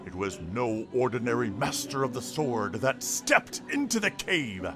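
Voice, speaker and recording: An actor playing a theatrical tavern storyteller in a fantasy audio drama, grandiose and suspenseful, with drawn-out pauses. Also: male voice, fairly high pitch, heavily acted. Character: theatrical tavern storyteller, a showman spinning heroic tales.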